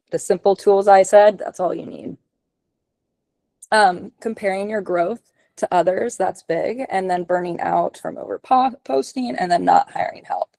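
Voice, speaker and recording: A woman giving a casual webinar presentation on a video call, running through tips as lists with many ums and likes, her voice going hoarse. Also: fairly low pitch, a little mellow, no room sound. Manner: casual, lots of ums and likes, list-like delivery. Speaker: podcast production consultant.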